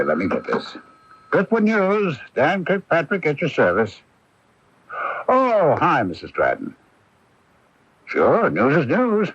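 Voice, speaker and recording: Genial, wry local newspaperman, lively inflection, slightly rough voice; radio drama. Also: medium pitch, no echo, full character voice. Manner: folksy, wry, breezy. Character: genial, wry small-town newspaperman, chatty and teasing. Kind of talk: 1980s radio drama acting. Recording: dull, lo-fi 1980s radio broadcast.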